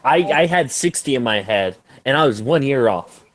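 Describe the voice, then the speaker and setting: A man's voice: mid-range voice, animated, normal speed, no echo. A man chatting casually with crude jokes and rambling asides. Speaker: chat participant. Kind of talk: casual group chat.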